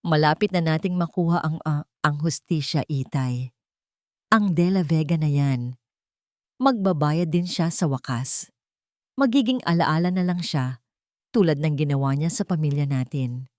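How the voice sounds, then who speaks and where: A woman with a Filipino accent voicing a scheming, vengeful female head nurse in an audio story, hushed and menacing. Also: low voice, lively intonation, measured pace, long pauses, dry recording, clean studio sound.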